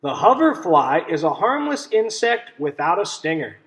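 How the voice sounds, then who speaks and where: A man reading a picture book aloud to children as a teacher, pausing to ask simple questions and explain things. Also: a little high, very animated, a bit bright. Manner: instructive, checks understanding with simple questions.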